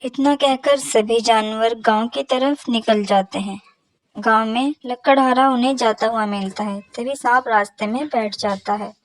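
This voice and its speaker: A woman with a fairly high voice narrates a children's animal fable. She reads from a script as a storyteller, and the sound is fairly dry. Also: steady tone, unhurried, no room sound, low-quality recording.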